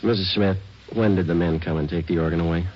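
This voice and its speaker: An American man playing a terse, matter-of-fact police detective who narrates his case in a deadpan radio drama style. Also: sits low, fast talker. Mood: businesslike. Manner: deadpan, businesslike, understated. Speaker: narrator and lead actor of a detective radio series. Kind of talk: old-time radio detective drama, clipped scripted narration. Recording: dull old radio recording with music.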